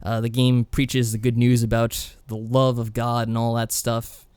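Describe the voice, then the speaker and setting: A man's voice: medium pitch, monotone, a little slow, darkish tone, clean tone, no echo. A man co-hosting a podcast, casually recapping a game in a wry, tongue-in-cheek way. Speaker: podcast co-host.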